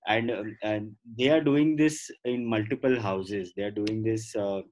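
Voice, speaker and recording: A man's voice: fairly low pitch, steady tone, measured pace, darkish tone. A man with an Indian accent giving thoughtful, hesitant answers as a guest in a casual interview. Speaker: podcast guest.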